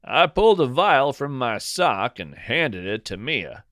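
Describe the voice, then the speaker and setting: A man narrating a scripted first-person story as a podcast host, in a whimsical, deliberate and dryly playful manner. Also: medium pitch, big pitch swings, a bit bright, no room sound, clean studio sound.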